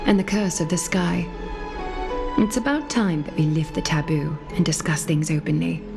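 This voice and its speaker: An actress playing a composed, solemn noblewoman and guide in a video game, speaking formally and gravely. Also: deep voice, normal speed. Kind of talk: scripted video game dialogue. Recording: music behind the voice. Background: game music.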